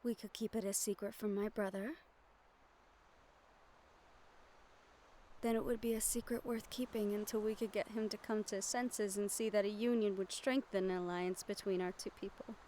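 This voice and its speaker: A woman voicing a gentle, wistful young noblewoman in a romantic fantasy audio roleplay, speaking softly with formal, measured diction and tender pauses. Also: medium pitch, steady tone, slight background noise, natural voice. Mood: hopeful, secretive.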